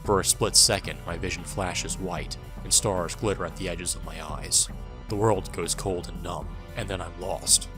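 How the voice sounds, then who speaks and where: Man as a deep-voiced, bitter young soldier. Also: steady tone, moderate pace, over music, natural voice. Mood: wry, bleak. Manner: somber, wry. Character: bitter wounded young male soldier recounting his war. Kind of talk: first-person audio drama narration.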